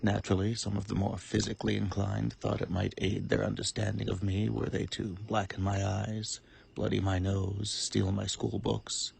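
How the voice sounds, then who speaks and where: Male actor as calculating supervillain, low and even-toned, gravelly, eloquent, deliberate, coolly menacing, dramatic monologue. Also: exaggerated voice. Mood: cold, menacing. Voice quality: gravelly, husky. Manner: deliberate, formal, menacingly calm.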